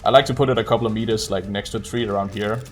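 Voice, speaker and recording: A man with a fairly low voice talks casually through a game he is playing live. He explains things in a low-key streamer manner, with music clearly playing behind him. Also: fairly even intonation, racing. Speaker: streamer speedrunner. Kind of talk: casual live gameplay commentary.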